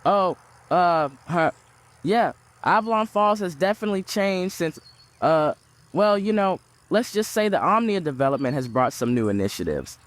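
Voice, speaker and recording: Awkward driver dude, slightly high voice, ums and stumbles, nervous small talk, podcast drama. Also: male voice, animated, moderate pace. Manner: hesitant, stammering, nervously chatty. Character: awkward, eager, evasive male rickshaw driver. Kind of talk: audio drama dialogue.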